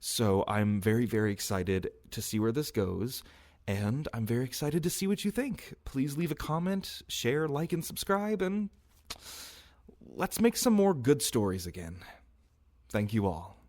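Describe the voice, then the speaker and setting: A man's voice: medium pitch, expressive, unhurried, a little mellow. A man speaking casually and earnestly as a channel host, giving an announcement to his listeners. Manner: earnest, conversational. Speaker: audio roleplay creator, channel host.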